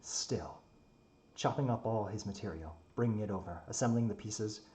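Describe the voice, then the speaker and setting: A man's voice: fairly low pitch, normal speed, dark tone, slight background noise, lightly acted. Man voicing a haughty, brooding inhuman creature. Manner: brooding, haughty, muttering monologue. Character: proud, grumpy non-human creature, secretly caring. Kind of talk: dramatic reading of a story.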